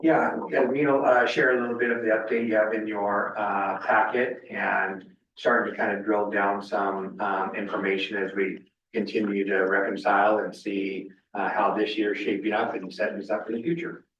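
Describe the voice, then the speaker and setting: A man speaking at a school board meeting, halting with many fillers, offering thanks. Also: on the low side, little pitch movement, fairly bright tone, slight background noise. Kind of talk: meeting remarks. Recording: muffled, echoey room, distant mic.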